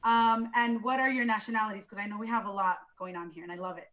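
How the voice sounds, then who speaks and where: A woman's voice: medium pitch, fairly even intonation, fast talker, fairly bright tone, dull audio. A woman from Los Angeles hosting a casual interview, chatty and enthusiastic, moving between English and Spanish. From Los Angeles, USA.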